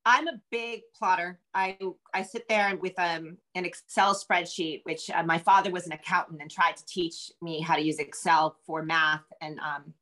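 A woman's voice: lowish voice, steady tone, moderate pace, hardly any pauses, pushed and bright, rough recording. American woman thinking aloud in conversation. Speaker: guest novelist. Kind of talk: casual interview chat. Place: indoor room at home, video call.

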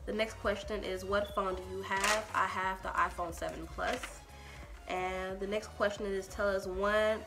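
A woman's voice: lowish voice, fairly bright tone. A young woman from Texas with a Liberian accent, chatting through a get-to-know-me Q&A with lots of ums and giggles, filmed indoors in a small room. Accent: Liberian English. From Texas, USA. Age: young adult. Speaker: YouTuber.

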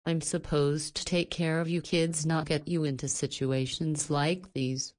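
Visual novel guardian: caring older-sounding woman in middle age, low pitch, fretful, emotional, apologetic. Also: flat delivery, measured pace, darkish tone. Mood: distraught, tender.

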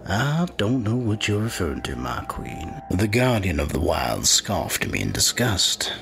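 Grim small-town sheriff, low gravelly voice, lively delivery, brooding dark-fantasy audio drama narration. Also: male voice, unhurried, soft background music, exaggerated voice. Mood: sinister, guarded. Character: grim secretive small-town sheriff telling his dark tale, 1920s. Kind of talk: first-person dramatic storytelling.

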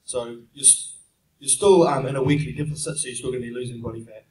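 A young man with a New Zealand accent answers audience questions in a steady, plain explaining manner, often pausing on "um", speaking into a handheld mic in a large conference room. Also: mid-range voice, distant mic. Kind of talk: casual Q&A seminar talk. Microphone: handheld mic, heard from a distant camera. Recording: echoey room heard from afar.

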